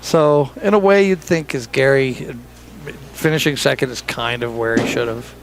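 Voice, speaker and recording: Man, bowling commentator, gravelly voice, teasing banter, light background hiss. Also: mid-range voice, lively intonation, normal speed. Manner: chatty, teasing, laughs often. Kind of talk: live sports commentary, joking banter. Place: bowling center.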